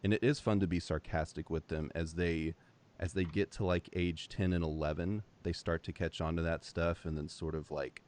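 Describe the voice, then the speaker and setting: A man who teaches music, talking in a relaxed, reflective way in a casual chat. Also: low voice, normal speed.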